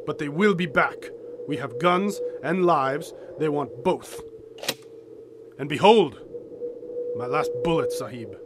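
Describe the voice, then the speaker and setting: Devout Afghan warrior, high-pitched over noisy ambience. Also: male voice, expressive, slow pace, long pauses. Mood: grim, urgent. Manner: formal, archaic, florid phrasing. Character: devout, fierce Afghan fighter, loyal sidekick to an American adventurer. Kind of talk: audio drama acting.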